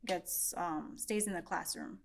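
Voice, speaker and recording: Soft-spoken low-voiced young woman teacher. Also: unhurried, gravelly. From Mexican-American, from the United States. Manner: soft-spoken, repeats words, mixes in Spanish. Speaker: high school teacher, interview guest. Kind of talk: casual interview conversation. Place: school classroom.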